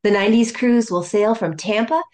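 A woman's voice: medium pitch, normal speed, darkish tone. A woman reading an advertisement in an upbeat, promotional way. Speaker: ad announcer. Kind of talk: read advertisement.

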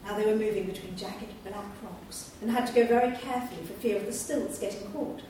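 A woman reading a children's storybook aloud at a live event, in a steady, clear storytelling manner. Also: medium pitch, fairly quick, a little hiss or hum. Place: large hall at a live event. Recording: echoey hall from far off.

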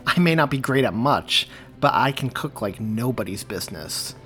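A man's voice: medium pitch, lively intonation, normal speed, fairly bright tone, faint music behind, lightly acted. Wry, cocky young man, read by a male narrator. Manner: wry, self-assured. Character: cocky young adult Italian-American man.

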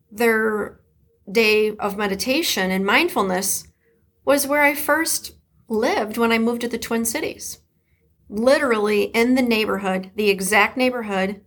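A middle-aged woman from Detroit with a Midwest accent, hosting her podcast in a chatty, emphatic way. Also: mid-range voice. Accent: Detroit Midwest American. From Detroit, USA. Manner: warm, chatty, emphatic, with laughs and shout-outs. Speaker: podcast host. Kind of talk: casual solo podcast talk.

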